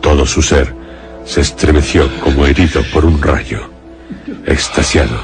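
A man narrating a suspense radio drama in a deliberate, solemn, dramatic way. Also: rumbling low. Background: faint music.

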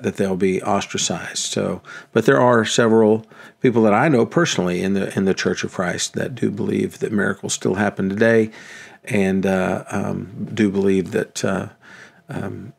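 A man's voice: fairly low pitch, vocal fry. A man in his fifties hosting a religious video channel, talking unscripted to the camera from a small room at home, hesitant and full of ums and restarts.

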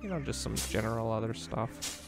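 A man hosting a casual let's play, talking in a relaxed, rambling way with lots of fillers while losing his voice. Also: on the low side, fairly quick, over loud music. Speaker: gaming channel host.

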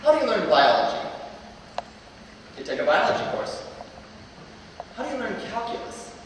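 A man's voice: sits high, very animated, normal speed, fairly bright tone, distant mic, slight background noise. A young man in his late teens giving an earnest graduation speech with wry humor from a lectern in a large auditorium. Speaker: student graduation speaker. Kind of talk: commencement address. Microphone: stand mic, filmed from far off. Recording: echoey hall recorded from afar.

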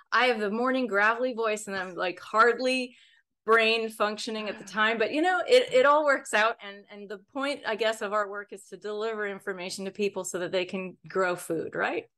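A woman's voice: medium pitch, bright, forceful tone. A woman hosting a casual gardening podcast interview, friendly, with frequent laughs and fillers. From Southern California. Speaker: podcast host.

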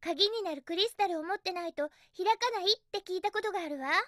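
Young cartoon hedgehog girl, video game, extremely high, piercingly bright, perky, sassy, cheerful. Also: quick pace, no room sound, clean studio sound. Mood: curious. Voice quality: bright, girlish. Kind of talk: Japanese video game voice acting.

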